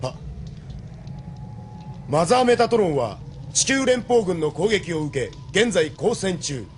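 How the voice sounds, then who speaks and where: A man's voice: high voice, very animated, measured pace, a little hiss or hum. A man playing a dutiful military communications soldier in a Japanese audio drama, with formal, clipped delivery. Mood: formal, obedient.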